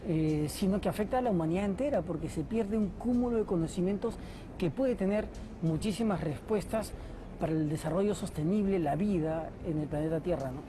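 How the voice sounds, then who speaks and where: Low-voiced woman explaining, with fillers. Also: background noise. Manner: thoughtful, pauses with fillers. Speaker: interviewed language expert. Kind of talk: interview answer.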